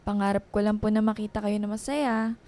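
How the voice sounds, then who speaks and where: A woman's voice: mid-range voice, fairly even intonation, fairly quick, darkish tone, natural voice. A woman playing a devoted, hardworking young daughter in her twenties in a Filipino radio drama, earnest and insistent. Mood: earnest, tender. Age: 20s. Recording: music behind the voice.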